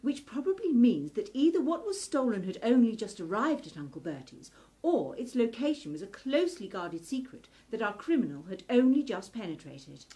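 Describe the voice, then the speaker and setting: A woman's voice: a little high, big pitch swings, moderate pace, hardly any pauses, dark tone, poor audio. A woman playing a clever, earnest young lady researcher in a steampunk radio play, speaking precisely. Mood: analytical. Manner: precise, articulate, explanatory.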